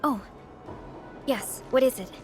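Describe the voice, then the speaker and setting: A woman playing a composed, knowledgeable, earnest young colleague in a video game, with a measured, explanatory delivery. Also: sits high, soft background music. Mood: concerned. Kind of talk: video game story voice acting.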